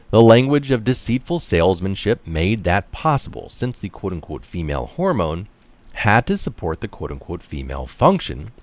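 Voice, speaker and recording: A man's voice: low-pitched, no room sound. A man reading an article aloud, stressing phrases and adding casual asides of his own. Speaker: article reader and commentator.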